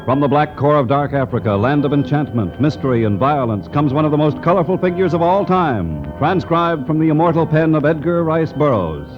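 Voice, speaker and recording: Male announcer, raised pitch, theatrical, clear music behind, vintage radio. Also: lively intonation, a little fast, few pauses. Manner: grandiose, theatrical. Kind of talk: dramatic 1950s radio announcing. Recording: dull 1950s radio recording.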